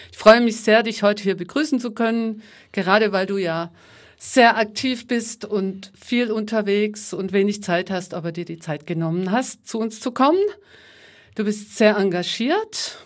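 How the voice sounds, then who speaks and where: A woman hosting a podcast interview, asking her guest questions in a friendly, measured way. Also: mid-range voice. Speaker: podcast host.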